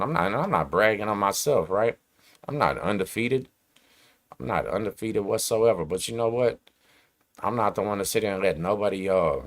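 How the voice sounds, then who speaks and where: Streetwise man ranting, low voice. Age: 40s. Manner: streetwise slang, emphatic and repetitive. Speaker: host. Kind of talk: casual rant to camera.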